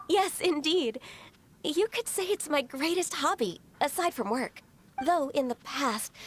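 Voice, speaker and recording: A woman voicing a gentle, shy, dutiful half-human young woman in a video game, speaking politely and formally with some hesitance. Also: sits high, a little hiss or hum. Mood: earnest. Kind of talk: video game character dialogue.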